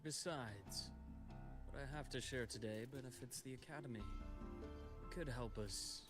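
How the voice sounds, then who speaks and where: An actor playing a calm, caring male doctor with a measured, reassuring way of speaking. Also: medium pitch, close to an everyday voice. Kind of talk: game voice acting. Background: game music.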